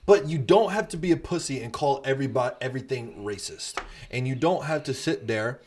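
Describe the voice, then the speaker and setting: A man's voice: medium pitch, lively intonation, fairly quick, darkish tone. A man co-hosting a podcast, talking bluntly and emphatically in a casual debate, swearing freely. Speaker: podcast co-host.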